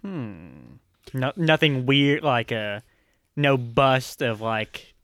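A man's voice: medium pitch, slow, fairly bright tone, no echo, clean studio sound. A man chatting casually as a player in a tabletop game, improvising in a hesitant, wry way.

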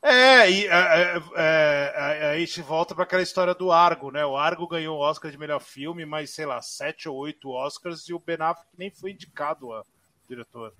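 A Brazilian man co-hosting a casual film podcast, animated and full of restarts and fillers. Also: on the high side, moderate pace. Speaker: podcast co-host.

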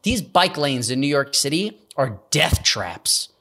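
A man's voice: medium pitch, sing-song, a little slow, sharp tone. A man co-hosting a podcast, telling loose, profane stories in casual chat with lots of fillers. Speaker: podcast co-host. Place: away in a cold city, remote call.

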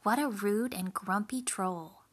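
A woman's voice: medium pitch, unhurried, breathy edge. A woman voicing the youngest, smallest goat in a storybook, chatty, polite and clever, with a friendly, emphatic manner. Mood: polite, wily.

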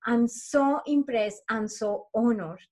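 A woman's voice: on the high side, takes it slowly, darkish tone. A woman hosting an interview show, introducing her guest in an earnest, effusive way with small stumbles. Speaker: podcast host.

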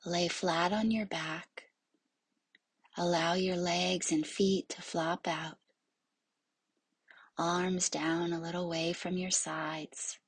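A woman guides a meditation as a yoga nidra instructor. Her voice is very husky and breathy, often close to a whisper. She speaks slowly and evenly, soothing and hushed, leaving long pauses. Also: lowish voice, level tone, no echo.

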